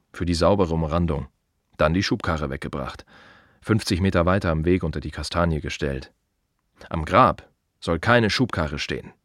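A reflective gravedigger in an intimate radio monologue. The voice is low and husky, recorded very dry and close. He muses in short, plain fragments with wry, quiet observation. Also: no room sound, full character voice. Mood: matter-of-fact, musing. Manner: musing, short fragmentary phrases with pauses. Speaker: monologue actor in a radio play.

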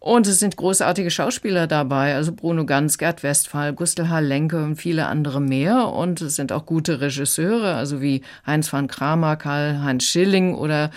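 A woman's voice: low-pitched, moderate pace, hardly any pauses, darkish tone. A woman giving her opinion as a reviewer in a conversational radio discussion. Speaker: audiobook reviewer.

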